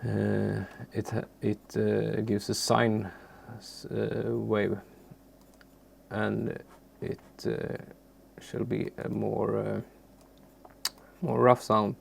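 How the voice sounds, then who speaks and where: Halting, very gravelly man giving a tutorial. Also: lowish voice, level tone. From Sweden. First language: Swedish. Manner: halting, searching for words, unassuming. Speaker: music software tutorial presenter. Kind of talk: informal screen tutorial, unscripted. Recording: faint background noise, keyboard clicks.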